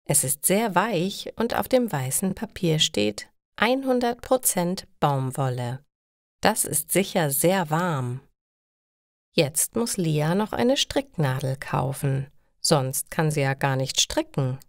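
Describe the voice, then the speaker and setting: A woman reading simple stories for language learners as a narrator, in a slow, careful storytelling style. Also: deep voice, lively intonation, no echo, clean studio sound.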